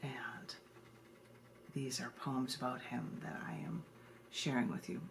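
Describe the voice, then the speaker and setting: Low-pitched, gravelly woman poet. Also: a little slow, slight background noise. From United States. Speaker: poet reading her own work. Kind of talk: informal introductions and expressive poetry reading.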